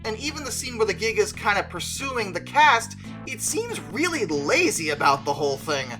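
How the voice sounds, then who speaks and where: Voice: medium pitch, sing-song, moderate pace, over music. A video creator giving an opinionated, conversational movie review, stretching stressed words for emphasis.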